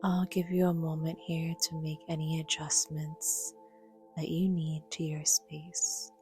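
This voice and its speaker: Slow, soft, breathy woman guiding meditation. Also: sits low, level tone, faint music behind. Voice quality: soft, breathy, half-whispered. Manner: gentle, slow, soothing, measured with long pauses. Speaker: guided meditation teacher. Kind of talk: guided yoga nidra meditation, soothing narration.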